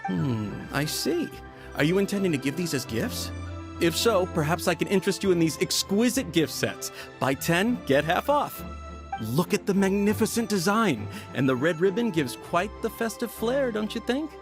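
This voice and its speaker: Pushy male shopkeeper, high voice, very animated, ingratiating sales patter, game dialogue. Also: normal speed, music in the background. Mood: salesy, persuasive. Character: eager, smooth-talking male market merchant pushing bargains. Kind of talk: scripted video game character dialogue.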